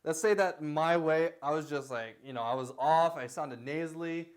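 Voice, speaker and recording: Chatty male vocal coach with a higher voice. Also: moderate pace. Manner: loose, repetitive talk with many fillers and "okay?" checks; sings short lines to demonstrate. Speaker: singing teacher. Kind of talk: casual vocal lesson.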